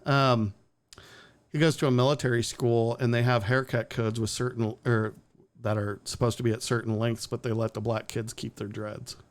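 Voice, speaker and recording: A man's voice: mid-range voice, flat delivery, normal speed. Male stream host, blunt and sarcastic, casual opinionated delivery, swears freely. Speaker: podcast host.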